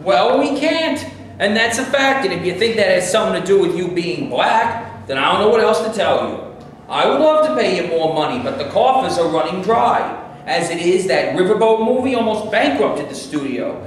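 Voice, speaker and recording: A man's voice: high voice, animated, normal speed. A man playing a defensive, hard-nosed movie studio boss in a staged reading, speaking emphatically and matter-of-factly. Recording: echoey live room, distant camera, noisy.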